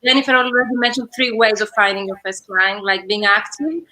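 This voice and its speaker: A woman's voice: mid-range voice, brisk, pushed and bright, poor audio. A woman business coach hosting a live online interview, talking conversationally with frequent fillers over a video call.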